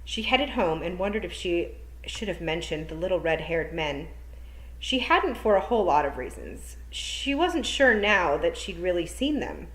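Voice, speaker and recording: A woman teacher reading a children's novel aloud at storytime, lively and expressive. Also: on the low side, moderate pace. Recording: echoey room.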